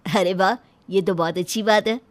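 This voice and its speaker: An affable young husband acted out by a woman in a cartoon family story. He speaks in an easygoing, agreeable way, lower than his bride. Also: steady tone, moderate pace, close to an everyday voice. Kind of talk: animated family story dialogue.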